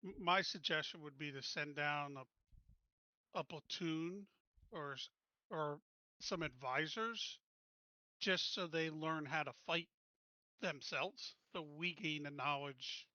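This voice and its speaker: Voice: mid-range voice, slow pace, long pauses, sharp tone, dry recording, muffled recording. A tabletop roleplaying player speaking casually, joking around and stumbling over words.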